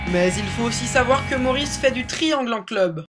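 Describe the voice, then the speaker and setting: A woman's voice: a little high, expressive, normal speed. A woman reading scripted voice-over narration for a parody reality TV show. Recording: loud music under the voice.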